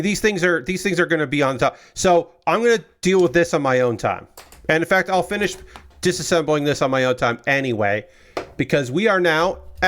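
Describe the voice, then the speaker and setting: A man streaming live from a small room at home, chatting casually and rambling while he builds, with a loud booming voice. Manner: rambling, chatty, restarts often.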